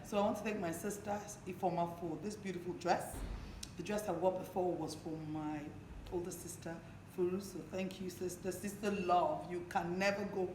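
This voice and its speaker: A hoarse woman from Nigeria, hosting a talk show in a conversational interview style. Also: lowish voice, fairly even intonation, moderate pace. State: hoarse, partly lost voice. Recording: echoey room, clearly noisy background.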